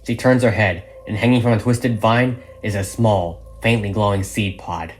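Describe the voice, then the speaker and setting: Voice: medium pitch, fairly even intonation, moderate pace, slight background noise. A narrator reading a script and its stage directions in a dramatic storytelling style. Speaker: narrator reading all parts of a fan audio drama. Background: background music.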